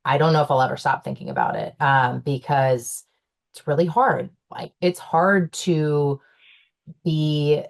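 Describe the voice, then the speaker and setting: Low-voiced chatty young woman. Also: expressive, a little slow, creaky. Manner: chatty, many fillers and restarts, laughs often. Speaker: panelist, owner's daughter in the business. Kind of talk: casual panel discussion.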